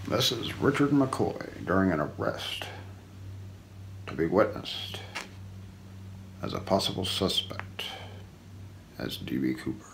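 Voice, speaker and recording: A man in his forties doing an ASMR role play, narrating an unsolved mystery script in a hushed, deliberate way with trailing phrase ends. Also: low voice, very animated, creaky, rough recording. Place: small indoor room. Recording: faint background noise.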